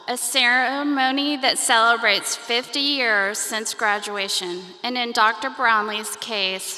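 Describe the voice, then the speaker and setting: Bright-voiced woman presenting awards in a hall. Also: fairly high pitch. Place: banquet hall.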